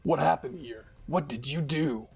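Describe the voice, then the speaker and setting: A man's voice: a little high, a little slow, gravelly, a little mellow, soft background music. A man voicing a stern, intimidating military commander in a fan-fiction reading, demanding and menacing. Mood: demanding, angry. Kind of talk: dramatic audiobook fan-fiction acting.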